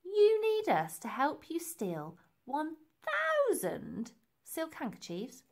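A woman voicing a big boy from a storybook thief gang, with slow, incredulous emphasis. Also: high-pitched, wildly swooping pitch, pitched above the performer's own voice, exaggerated voice.

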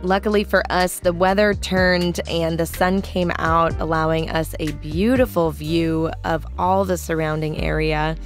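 A woman's voice: low-pitched, fairly even intonation, unhurried, few pauses, over music. Young woman vlogging casually. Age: young adult. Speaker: travel vlogger. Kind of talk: casual vlog chat and narration. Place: inside a camper van and outdoors.

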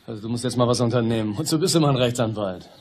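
A man's voice: mid-range voice, rapid-fire, a little mellow, close to an everyday voice. An actor playing an arrogant, irritated male builder under suspicion in a German radio crime drama. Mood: annoyed, urgent. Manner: irritated, haughty. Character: indignant, arrogant male builder suspected of murder.

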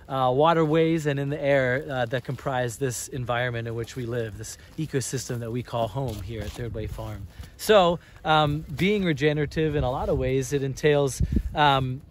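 Young male farmer explaining outdoors. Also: fairly high pitch. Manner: explanatory, earnest, frequent "uh" and "um" fillers. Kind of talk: on-site farm tour interview. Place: outdoors on a snowy farm field.

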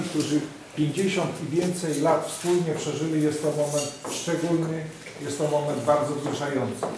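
A man in his fifties giving a solemn, deliberate ceremonial speech as a town mayor, often repeating phrases. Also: on the high side. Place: large hall at a public ceremony. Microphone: distant camera microphone. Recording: echoey hall from far off, noisy.

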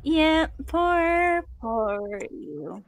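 A woman's voice: high voice, sing-song, extremely slow, slight background noise. A woman playing a party game with friends, playful and teasing, cursing at misses. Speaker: guest player. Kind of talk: casual let's-play chat. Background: game music.

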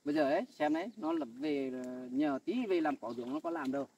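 A man's voice: fairly high pitch, lively intonation, fairly quick, darkish tone, breathy edge, recorded from a distance. A man playing a defensive husband who tries to placate his jealous wife in an amateur family skit.

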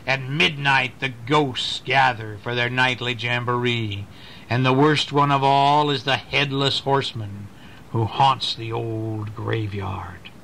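A man's voice: mid-range voice, steady tone, slow, creaky, bright, forceful tone, exaggerated voice. Man doing a scheming, menacing storybook bully. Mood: spooky, boastful. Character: big, strong, jealous bully and trickster in a storybook. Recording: noisy amateur home recording.

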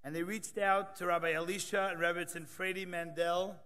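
A man's voice: high voice, flat delivery, moderate pace, pushed and bright, smooth. A man in his thirties emceeing a conference, reading introductions from notes with joking asides at a podium microphone in a large hall. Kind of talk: scripted introductions with light jokes.

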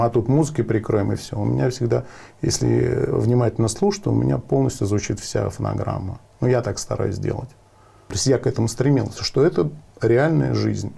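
A man's voice: lowish voice, vocal fry, dark tone, husky. A middle-aged man answering in an interview as a sound engineer, earnestly repeating and rephrasing his points. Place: sound studio, indoors. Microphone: lapel.

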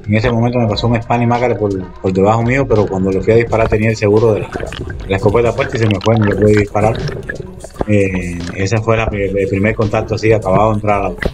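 A man's voice: on the low side, fairly even intonation, quick pace. A man narrating his own diving and spearfishing footage in a casual voice-over, rambling and speaking straight to his viewers. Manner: rambling, restarts often, addresses viewers directly. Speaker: spearfishing vlogger. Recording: voice-over with loud background music.

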